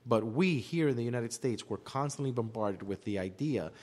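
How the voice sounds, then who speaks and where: A man's voice: medium pitch, animated, normal speed, darkish tone. A man explaining his opinions at length in a discussion, emphatically repeating phrases for effect. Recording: long hall-like reverb.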